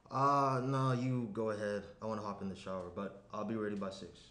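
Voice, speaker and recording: A man's voice: lowish voice, fairly even intonation, brisk, a bit bright, close to an everyday voice. A young man acting an attentive, slightly anxious boyfriend in a conversational, hesitant script reading. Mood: easygoing, affectionate. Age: young adult. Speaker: actor in a screenplay table read. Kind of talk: naturalistic dramatic script reading. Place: small indoor room around a table. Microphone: shared tabletop microphone. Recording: roomy echo, noticeable room noise.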